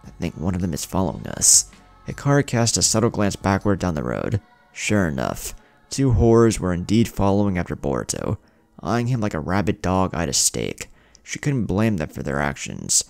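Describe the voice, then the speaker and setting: A man's voice: deep voice, vocal fry, a little mellow, no echo. A man narrating a scripted fan fiction story in a dramatic storytelling manner.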